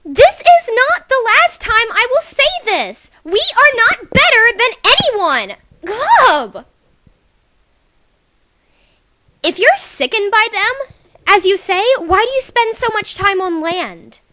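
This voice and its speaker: Woman voicing a very high, bubbly scolding alien sea girl. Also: sing-song, slow, noisy background, full character voice. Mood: earnest scolding. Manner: exclamatory, teasing, emphatic. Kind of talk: character voice reel for a webcomic.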